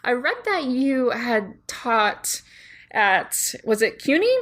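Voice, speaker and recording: A woman's voice: fairly high pitch, sing-song, slow, a bit bright. A woman co-hosting a podcast, asking questions and showing her appreciation in a casual interview, restarting her sentences now and then. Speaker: podcast co-host.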